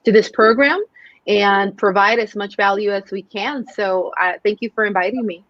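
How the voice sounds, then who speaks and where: A woman's voice: mid-range voice, normal speed, a bit bright, dry recording. A woman in her forties from Mexico, a native Spanish speaker, telling stories earnestly as a sales coach guest, with many fillers. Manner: earnest, storytelling, frequent fillers. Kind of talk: casual interview talk. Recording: dull lo-fi sound.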